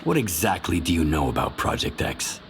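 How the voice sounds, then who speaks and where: Guarded stalker, very low and clipped, light hiss behind. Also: male voice, big pitch swings, fairly quick, gravelly, full character voice. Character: terse male stalker, guarded and questioning. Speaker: game protagonist.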